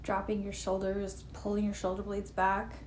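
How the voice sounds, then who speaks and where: A woman guiding a relaxed live meditation session, gently talking, with personal asides, sniffly from allergies. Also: mid-range voice, moderate pace. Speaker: meditation guide. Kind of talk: guided meditation talk. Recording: echoey room with faint noise.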